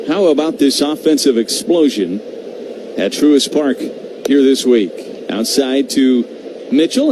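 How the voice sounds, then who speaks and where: Man, radio play-by-play, medium pitch, conversational home-team commentary, noisy live broadcast feed. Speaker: baseball play-by-play announcer.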